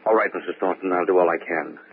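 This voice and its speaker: An actor playing a serious, decisive nightclub mentalist with a probing, authoritative manner in a 1940s radio mystery. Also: male voice, sits low, quick pace, close to an everyday voice. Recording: faintly noisy, narrow old radio broadcast.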